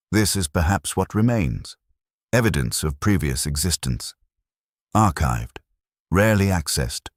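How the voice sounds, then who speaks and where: Very deep, husky, detached soldier voiced by a man. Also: dry recording, clean studio sound, heavily acted. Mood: detached, resigned. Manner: clinical, detached, terse clipped sentences. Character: weary, resigned, detached soldier-clerk logging records on a toxic war world. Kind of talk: scripted first-person dramatic narration.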